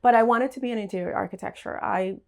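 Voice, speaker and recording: A woman's voice: medium pitch, quick pace, creaky, a little mellow. A woman being interviewed as a wine bar owner, speaking conversationally and stressing points through repetition. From Puerto Rico.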